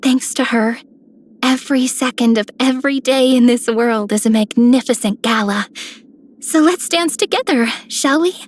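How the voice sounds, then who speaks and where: Woman as breathy, poetic young maiden. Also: fairly high pitch, normal speed, close to an everyday voice. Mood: serene. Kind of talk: scripted game character lines.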